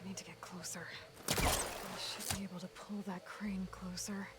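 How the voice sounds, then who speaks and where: A woman's voice: medium pitch, flat delivery, moderate pace, in a whisper, close to an everyday voice. An actress playing a determined, self-reliant young woman adventurer in a video game, muttering terse asides to herself. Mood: pensive.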